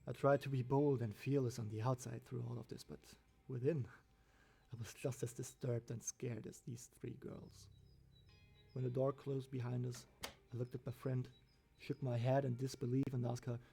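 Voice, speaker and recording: A man's voice: medium pitch, level tone, moderate pace, gravelly, warm tone. A man reading a scripted first-person horror story as its narrator. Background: background music.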